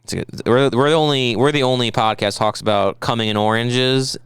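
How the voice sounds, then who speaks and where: A man co-hosting a casual podcast, rambling and profane, telling animated stories. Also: medium pitch, fairly quick, clear, resonant tone, no room sound, studio quality. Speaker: podcast co-host. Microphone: podcast microphone.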